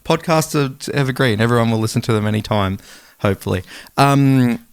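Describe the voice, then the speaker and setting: A man's voice: mid-range voice, a little fast, clean tone, no room sound. Australian male podcast co-host, matey and informal, very dry recording. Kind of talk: casual chat.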